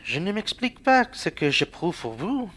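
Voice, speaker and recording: A woman playing an amorous, pushy gentleman in an amateur radio play, wheedling and insistent. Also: lowish voice, very animated, moderate pace.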